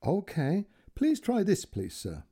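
A man voicing a calm, patient young female call centre worker who keeps politely saying "sir" in a put-on accent. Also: on the high side, normal speed, pitched above the performer's own voice.

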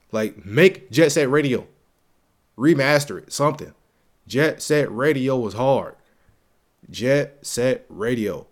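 A young man with a stuffy, congested voice hosts his own gaming podcast in a loose, slangy way. He keeps the hype going by repeating phrases for emphasis. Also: mid-range voice. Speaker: podcast host. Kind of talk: casual solo gaming podcast.

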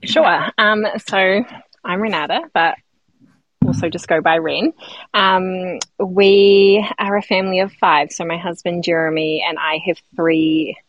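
A woman in her thirties, a midwife and guest on a podcast, talking in a relaxed, thoughtful way with many ums and likes. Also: lowish voice, measured pace, no room sound, highs cut off. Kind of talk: casual interview chat.

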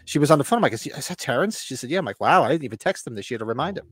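A man's voice: mid-range voice, very fast, slight background noise. A middle-aged man with a stuffy, sniffly nose from allergies, a podcast co-host rambling and telling stories in casual chat over a remote call. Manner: rambling, animated storyteller.

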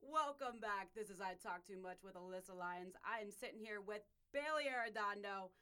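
A young woman from Ohio hosts a sports podcast, talking in a lively, chatty way. Her voice sits at an ordinary middle pitch for a woman, and she keeps the conversation moving with quick reactions and plenty of energy. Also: clear, resonant tone. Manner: chatty and animated, with a punchy scripted opening. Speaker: podcast host. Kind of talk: podcast interview chat.

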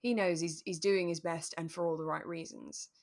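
A woman with a slightly low-pitched voice talks as a podcast co-host. She chats in a relaxed, conversational style, thinking things over with a sympathetic manner. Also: normal speed, gravelly. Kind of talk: relaxed chat discussing a radio drama.

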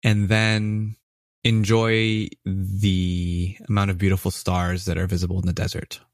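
A man's voice: low-pitched, completely flat delivery, unhurried, no echo, studio quality. A man from New Mexico with an American accent, chatting casually as a podcast guest. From New Mexico, United States. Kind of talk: casual chat.

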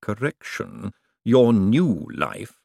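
Audiobook role: ruling lord male narrator acting, measured, formal, quietly threatening, drily ironic, close dry sound. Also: fairly low pitch, big pitch swings, gravelly, no echo, heavily acted. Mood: coolly commanding. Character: urbane, calmly menacing ruling lord, dry and precise.

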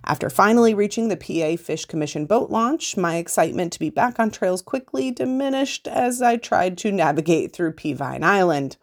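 A woman's voice: lowish voice, expressive, normal speed, hardly any pauses. A woman reading a scripted first-person account aloud as a podcast host.

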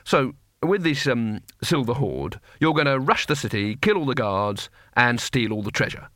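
A jittery, sceptical wizard as a male narrator acts him in a comic fantasy audiobook. He speaks hesitantly and with dry sarcasm, in a bright voice with lively swings of pitch. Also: normal speed, dry recording. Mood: incredulous, wry. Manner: hesitant, wry, questioning. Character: nervous, doubtful, sarcastic cowardly male wizard.

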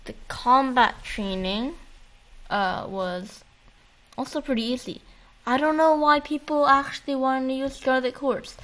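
Female co-host for gaming chat. Higher-pitched, slangy, informal, bantering delivery. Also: fairly even intonation, measured pace, fairly bright tone, low-quality recording. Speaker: podcast co-host. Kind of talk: casual chat about a video game.

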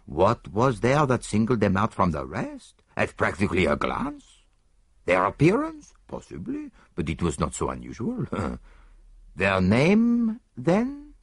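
A man's voice: mid-range voice, slightly rough, no room sound, clean studio sound, voice pushed up, heavily acted. A man voicing a fussy, precise older male detective, mildly reproving and fond of rhetorical questions. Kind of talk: audiobook character voice.

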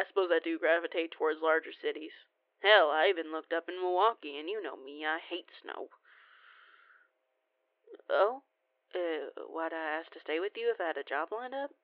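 A woman's voice: low voice, no echo. Woman acting a rambling young cousin, tinny phone sound. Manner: sheepish, rambling, folksy, mildly foul-mouthed. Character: young cheeky rambling werewolf cousin, female, pleading for favour. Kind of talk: one-sided audio roleplay phone call. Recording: tinny band-limited phone line, very dry.

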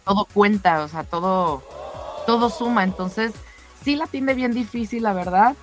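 A woman with a Mexican accent presents sports news as a radio co-host. Her delivery is conversational and chatty, speaking straight to her colleagues. Music plays softly under her voice. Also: mid-range voice, measured pace. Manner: chatty, addresses co-hosts directly.

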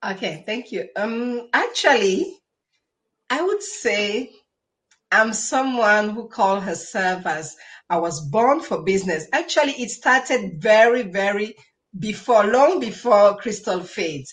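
A woman's voice: mid-range voice, animated, slow. A woman from Cameroon, a podcast guest telling her story conversationally and enthusiastically, repeating words for emphasis.

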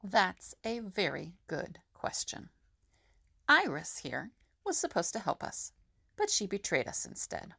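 A woman's voice: mid-range voice, huge pitch swings, unhurried, creaky, pushed and bright, slightly rough, full character voice. A woman playing a confident, reassuring young prison escapee in an audio drama. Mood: suspicious.

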